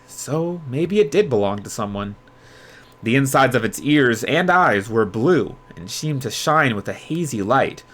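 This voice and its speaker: A man hosting a livestream readalong, moving between expressive storybook narration and casual chat with viewers. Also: medium pitch. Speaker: streamer host reading aloud.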